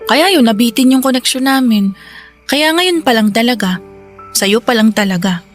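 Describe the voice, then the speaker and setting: An actress as a high-voiced, grateful young woman. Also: animated, moderate pace, faint music behind, lightly acted. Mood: wistful. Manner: earnest, tender, heartfelt. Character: grateful, affectionate young woman seeking a mother. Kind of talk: Tagalog radio drama dialogue.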